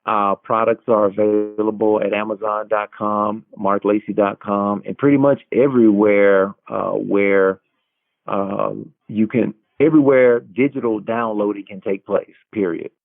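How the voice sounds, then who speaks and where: A man answering as an interviewed author, conversational, with a halting, deliberate delivery. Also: lowish voice, steady tone, vocal fry, no echo. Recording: tinny narrow phone line.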